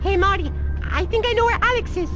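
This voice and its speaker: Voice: extremely high pitch, quick pace. An actor voicing a tiny, naive, childlike mouse lemur who is eager and reassuring, in a cartoon video game. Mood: eager, guiding. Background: game music.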